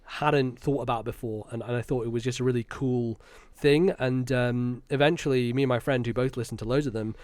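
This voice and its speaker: A man's voice: mid-range voice, fairly even intonation, a little fast, hardly any pauses, a little mellow, no room sound. A British man, around thirty, chatting casually and enthusiastically as an interview guest, explaining things in a conversational way. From Britain. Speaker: podcast guest, content creator.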